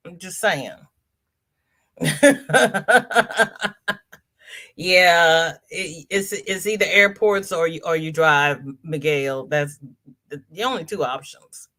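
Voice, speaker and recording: Stuffy-nosed lady host reading comments out, lowish voice, chatty. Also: slow pace, pauses often, sharp tone. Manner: chatty, greets viewers by name. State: congested. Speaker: livestream host. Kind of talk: casual live chat reading comments.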